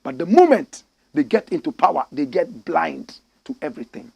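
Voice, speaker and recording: Male commentary host, higher voice, preachy and emphatic, talks straight to his audience. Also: huge pitch swings, normal speed, vocal fry, warm tone, slightly rough. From Ghana. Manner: preachy, emphatic, addresses listeners directly. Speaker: political commentary show host. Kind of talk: impassioned solo political commentary.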